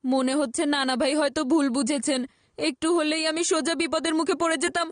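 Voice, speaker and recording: A woman voicing a high eager cartoon boy. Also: steady tone, fairly quick, background noise, lightly acted. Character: mischievous young boy eager for rain. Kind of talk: Bengali cartoon dub.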